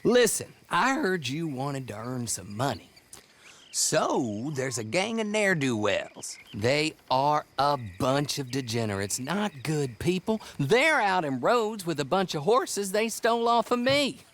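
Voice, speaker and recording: An actor playing a chatty, eccentric horse thief in a Western video game, with a folksy Southern American accent and a rambling, jovial manner. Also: male voice, fairly high pitch, big pitch swings, a little slow, fairly bright tone. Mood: sly, jovial. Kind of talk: video game cutscene acting.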